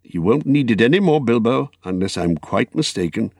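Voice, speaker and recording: A man voicing a grave old wizard in a storybook reading, patient, watchful and stern, speaking in a measured, thoughtful way. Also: mid-range voice, animated, darkish tone, no room sound, pitched above the performer's own voice. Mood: grave, insistent.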